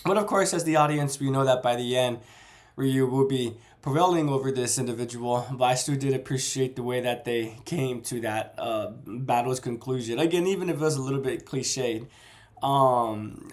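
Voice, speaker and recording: A man with a cold, sounding congested and a bit nasal, casually discussing a novel chapter and often correcting himself. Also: medium pitch. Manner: rambling, self-correcting. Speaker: book reviewer.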